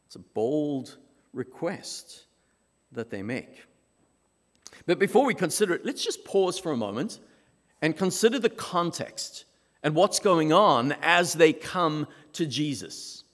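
A man from South Africa with a South African accent, preaching a sermon as pastor in measured, emphatic phrases with dramatic pauses. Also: a little high, big pitch swings, a bit bright. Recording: long reverb of a large hall.

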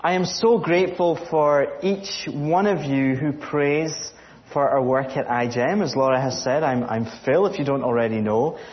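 A man with a Scottish accent giving a sermon, speaking earnestly with frequent pauses and fillers. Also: on the high side, muffled recording. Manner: halting with fillers, earnest and grateful. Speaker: guest speaker.

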